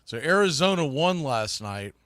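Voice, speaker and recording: Male sports podcast host, forceful bright tone, slightly high pitch, folksy and excitable delivery. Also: moderate pace, dry recording, studio quality. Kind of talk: live sports recap and preview show.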